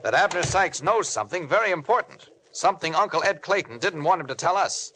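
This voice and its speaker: High-pitched, inquisitive newspaper reporter, old radio. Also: male voice, expressive, a little fast, background noise. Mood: puzzled, musing. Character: mild but stubbornly inquisitive male newspaper reporter. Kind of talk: 1940s radio serial acting. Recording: old radio recording, music underneath.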